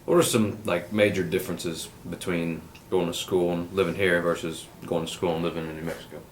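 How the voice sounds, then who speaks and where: A man's voice: sits low, steady tone, a little fast, roomy sound, a little hiss or hum. A man interviewing someone and asking short, polite questions.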